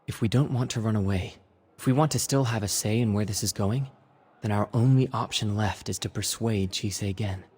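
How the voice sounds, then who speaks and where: Calm, husky game strategist. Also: male voice, lowish voice, fairly quick. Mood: measured. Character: calm, calculating male team strategist. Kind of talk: dramatic video game voice acting.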